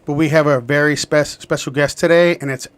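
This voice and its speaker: A man's voice: on the high side, steady tone, a little fast. A man hosting a live podcast, talking loosely with restarts and filler words. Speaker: podcast host. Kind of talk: casual live-stream chat.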